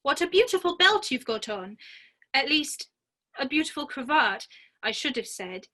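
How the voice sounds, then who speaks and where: A woman's voice: sits high, big pitch swings, moderate pace, pushed and bright, full character voice. A woman playing a polite, earnest, curious little girl in a dramatic reading of a children's classic. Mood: polite, puzzled.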